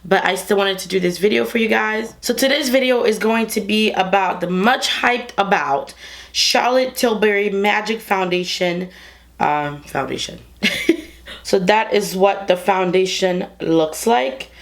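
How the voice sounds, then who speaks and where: A woman's voice: medium pitch, measured pace, vocal fry. A young woman with a congested, under-the-weather voice, chatting to her viewers while reviewing and applying makeup at home. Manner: chatty, talks straight to viewers. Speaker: beauty vlogger. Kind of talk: casual makeup review and demo. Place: bedroom studio with clothing rack.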